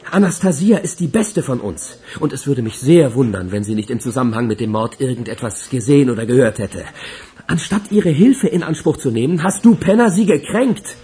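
An actor playing an assertive, blunt police major who takes charge, in a German radio crime drama. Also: male voice, medium pitch, expressive, a little fast, dark tone, husky. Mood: angry, commanding.

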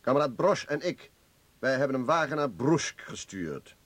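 An actor in a radio play playing an anxious, commanding Party official with an urgent, curt manner. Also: male voice, mid-range voice, very animated, normal speed, a bit bright, no echo. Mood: guarded, firm.

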